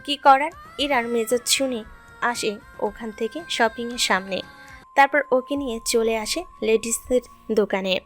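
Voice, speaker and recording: A woman's voice: on the high side, normal speed. A woman reading scripted narration for an audio story. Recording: background music throughout.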